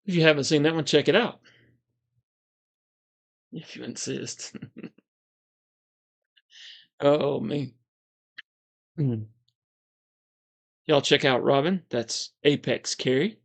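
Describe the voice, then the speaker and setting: Calm, gravelly man chatting. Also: on the high side, slow, long pauses. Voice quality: calm, soothing voice. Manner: relaxed, meandering, frequent fillers and soft chuckles. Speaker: livestream host. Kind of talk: casual livestream chat reading comments.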